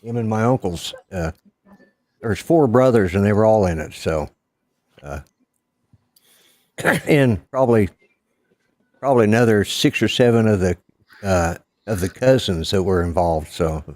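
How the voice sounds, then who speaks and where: A man's voice: sits low, measured pace, long pauses, a little mellow, no room sound. A man in his sixties from Colorado with an Oklahoma accent, chatting casually in a folksy, blunt way and swearing now and then. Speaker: livestream participant. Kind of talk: casual chat.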